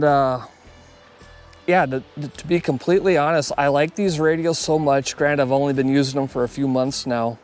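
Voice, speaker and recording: A man talking casually and off the cuff as a hobbyist video host reviewing gear, plainspoken and conversational. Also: mid-range voice. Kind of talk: casual unscripted product review.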